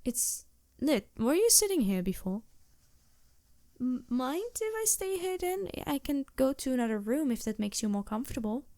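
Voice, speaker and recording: A woman's voice: high-pitched, big pitch swings, normal speed, dark tone, no room sound. A woman playing a polite, anxious vampire posing as a traveling merchant in a fantasy audio roleplay, speaking hesitantly with small stammers and courteous apologies. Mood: grateful, polite. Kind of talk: fantasy roleplay audio drama, addressing the listener.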